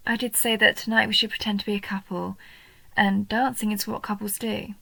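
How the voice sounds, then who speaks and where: A woman voicing a shy, sweet, nerdy goblin girl in a soft roleplay audio, hesitant and gentle, often dropping into breathy half-whispers. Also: mid-range voice, no room sound, rough recording. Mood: flustered, coy. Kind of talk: roleplay audio, soft intimate story acting.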